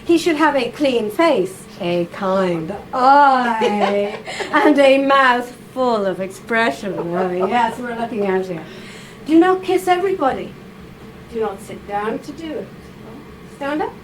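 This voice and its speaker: Slow, playful woman reading to a room. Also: a little high, animated. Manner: playful, laughing, coaxing. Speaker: reader at a writers' salon. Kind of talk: reading aloud and directing volunteers. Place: live event room with audience. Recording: noisy live room recording.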